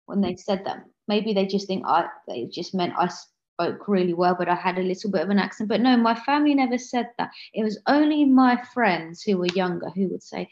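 A woman's voice: mid-range voice, expressive, brisk, a little mellow. Earnest Englishwoman with a dull lo-fi sound. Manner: earnest, many restarts and self-corrections. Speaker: guest author. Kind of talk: casual interview conversation. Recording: slightly dull lo-fi audio.

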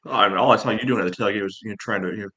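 A man co-hosting a casual sports podcast, speaking in a measured, hedging way with many filler phrases. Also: fairly low pitch, sharp tone. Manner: measured, hedging, peppered with "you know".

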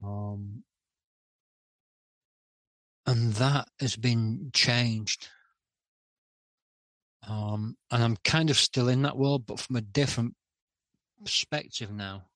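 A middle-aged man speaking candidly as a podcast guest, hesitant with many pauses and restarts. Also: medium pitch, fairly bright tone, dry recording. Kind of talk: candid interview talk.

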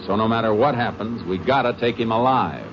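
A man acts out a Texas Ranger investigator from old-time radio. His voice is low, and he talks in clipped, determined, matter-of-fact phrases. The recording is muffled, with heavy noise throughout. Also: a little fast, close to an everyday voice. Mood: grim resolve. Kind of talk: 1950s radio drama acting with first-person narration.

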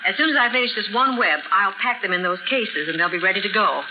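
A woman's voice: mid-range voice, expressive, fast talker, close to an everyday voice. An actress playing a polite, matter-of-fact woman business owner in a 1940s radio drama, explaining things plainly. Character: businesslike woman who owns a spider web business. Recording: muffled, noisy 1940s radio recording.

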